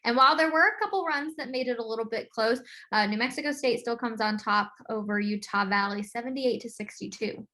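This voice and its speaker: A woman's voice: a little high, fairly quick, hardly any pauses, pushed and bright. A woman hosting a sports podcast in a casual, informal, conversational way as she steers the discussion.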